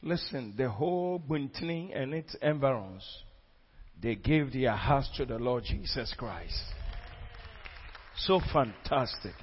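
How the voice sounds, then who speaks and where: A man's voice: mid-range voice, lively intonation, slow pace, slightly rough, a faint crowd. A man preaching a sermon, telling of a crusade with fervent, exclamatory delivery and frequent repetitions. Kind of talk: sermon testimony. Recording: dull lo-fi recording.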